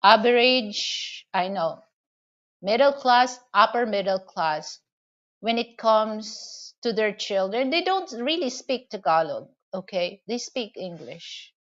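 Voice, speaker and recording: Woman, Filipino accent, unhurried rambling chat, dull lo-fi sound. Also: medium pitch, lively intonation. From Philippines. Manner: rambling, heavy with "you know" and "okay?" fillers. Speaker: vlogger. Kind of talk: casual advice and storytelling to camera.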